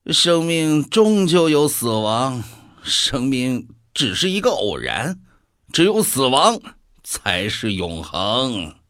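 A male actor as a jaded devil from a radio play who scorns life. He draws out his words slowly and theatrically, with wide swings of pitch, in a dry, close recording. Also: sing-song, a bit bright, no echo. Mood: jaded, gloomy. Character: mocking, bored male devil who favours death. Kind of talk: audio drama acting.

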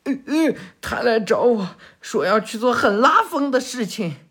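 A man's voice: extremely high pitch, expressive, moderate pace, fairly bright tone, breathy edge, pitched above the performer's own voice, full character voice. A man voicing a timid young male henchman who stammers and pleads, close to tears. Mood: tearful.

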